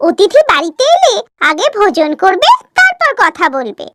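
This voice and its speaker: A woman plays a young village bride in a cartoon, simple and respectful. The voice is very high, lilting widely and recorded dry. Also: wildly swooping pitch, normal speed, sharp tone, dry recording, heavily acted. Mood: earnest. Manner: respectful, earnest. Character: polite, eager young village daughters-in-law, simple and earnest.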